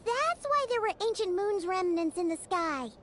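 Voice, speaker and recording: A woman voicing a tiny, childlike, curious fairy companion in a game, squeaky and inquisitive. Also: animated, moderate pace, noisy background. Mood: puzzled, curious.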